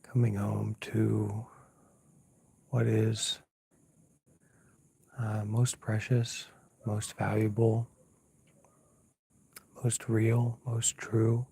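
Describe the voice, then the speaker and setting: A man speaks as a meditation teacher in a dark, mellow voice with little rise or fall. He is hushed and very unhurried, leaving pauses between short phrases. The delivery is soft, slightly husky and gently instructive. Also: lowish voice. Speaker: Buddhist monk, meditation teacher. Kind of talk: unscripted guided meditation. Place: outdoors at night, lying down under a mosquito net.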